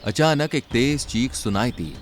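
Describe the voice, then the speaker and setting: A man's voice: mid-range voice, lively intonation, unhurried. A man narrating a scripted children's story as its storyteller.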